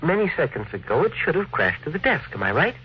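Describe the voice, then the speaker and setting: An actor playing an earnest, courteous, dreamy inventor who is hesitant yet insistent, in 1950s radio drama style. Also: male voice, a little high, big pitch swings, quick pace, slightly rough, exaggerated voice. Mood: quietly confident. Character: earnest, polite, dreamy male inventor, quietly persistent. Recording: dull vintage radio recording.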